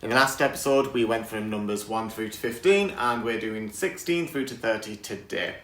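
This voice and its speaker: A man with a croaky voice chatting enthusiastically to camera outdoors on a windy day, laughing often. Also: lowish voice, normal speed, a bit bright, echoey room. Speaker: vlogger. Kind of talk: casual chat to camera.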